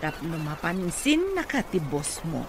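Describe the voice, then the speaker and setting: A woman's voice: low voice, very animated, a little slow, noisy background, heavily acted. A woman acting a doting, scheming mother in an Ilocano radio comedy drama, speaking in an emphatic, coaxing way. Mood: coaxing, proud. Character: doting, scheming mother pushing her daughter toward the boss.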